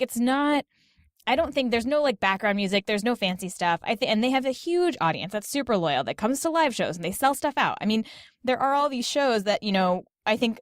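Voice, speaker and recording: A woman's voice: medium pitch, no echo, clean studio sound. A woman talking casually as a podcast guest, chatty and informal with an emphatic way of making her points. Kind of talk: casual conversational panel talk. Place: small closet at home.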